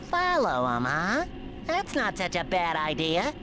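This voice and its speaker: An actor playing an eager, earnest, boyish sea sponge in a cartoon video game, with an excitable manner. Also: male voice, high voice, a little slow, noisy background, full character voice. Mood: curious, earnest.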